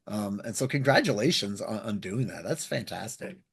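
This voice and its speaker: A man hosting a casual interview, enthusiastic and effusive, with a husky voice. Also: lowish voice, animated, normal speed, fairly bright tone. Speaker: interviewer, host.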